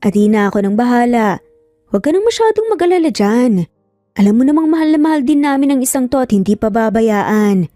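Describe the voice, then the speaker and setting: A woman's voice: fairly high pitch, very animated, a little fast, mellow, no room sound, clean studio sound, soft background music. Woman as a mischievous, easygoing young man. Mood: reassuring. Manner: joking, playful. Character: mischievous, easygoing male cousin, young adult.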